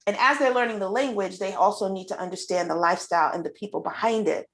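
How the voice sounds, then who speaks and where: A middle-aged woman chats casually as the host of an interview. She speaks effusively, often repeating a phrase to press her point, at an average pitch for a woman. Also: quick pace, a bit bright. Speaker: show host and children's book author. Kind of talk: casual conversational interview chat.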